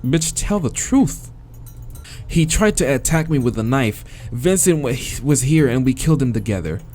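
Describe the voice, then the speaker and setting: An American man reading a visual novel aloud as a let's-play host, dramatic in the narration and breaking into slangy, expressive asides. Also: mid-range voice, normal speed, soft background music. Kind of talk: dramatic read-aloud with casual reactions.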